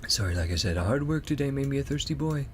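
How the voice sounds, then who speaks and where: A man's voice: medium pitch, animated, quick pace, a little hiss or hum. A man giving casual, easygoing gameplay commentary, rambling as he thinks aloud. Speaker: gaming video host.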